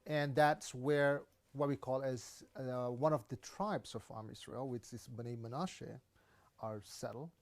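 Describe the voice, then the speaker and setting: A man's voice: mid-range voice, a little slow. A man from India introducing himself in an interview in a careful, explanatory way. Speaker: student. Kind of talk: interview answers.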